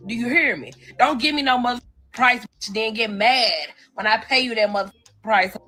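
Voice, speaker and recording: A woman's voice: a little high, moderate pace, pushed and bright. A woman in a casual social-media rant, blunt, profane and confrontational.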